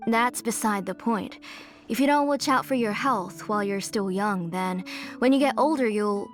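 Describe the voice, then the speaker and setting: A woman's voice: mid-range voice, moderate pace, faint music behind, close to an everyday voice. A woman voicing an older, doting mother in a video game, with a fussing, motherly manner. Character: grieving, doting older mother, retired forger.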